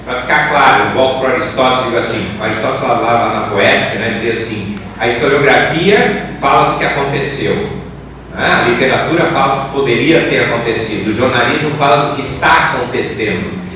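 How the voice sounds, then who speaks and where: A man's voice: medium pitch, a little fast. A Brazilian man, a professor on a panel, explaining his points emphatically and didactically. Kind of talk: panel discussion answer. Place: large echoing room at a university event. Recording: very echoey room, distant mic, clear noise.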